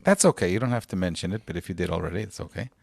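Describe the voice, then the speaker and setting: A man's voice: low voice, rapid-fire, creaky, a little mellow, dry recording. A man hosting a podcast, asking questions and joking in a playful way. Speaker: podcast host. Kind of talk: casual interview chat.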